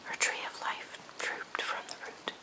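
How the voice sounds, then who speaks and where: A person reading a poem in an unvoiced ASMR whisper, phrase by phrase with gentle pauses. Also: poor audio. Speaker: ASMR reader.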